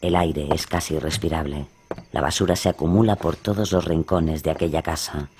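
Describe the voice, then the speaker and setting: A man's voice: very deep voice, steady tone, a little fast, noisy background. A man narrating a scripted horror radio drama in a grave, dramatic manner.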